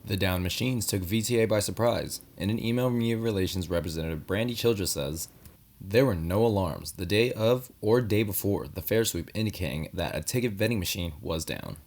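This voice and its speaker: A man's voice: deep voice, fairly quick, a little mellow. A man working as a news reporter, reading scripted narration for a news story.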